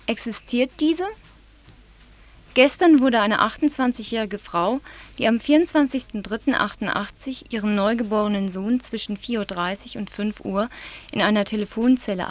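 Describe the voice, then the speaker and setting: A woman presents local news in a measured, serious reading voice at a middling pitch. She is from southwest Germany. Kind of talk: read-out news report.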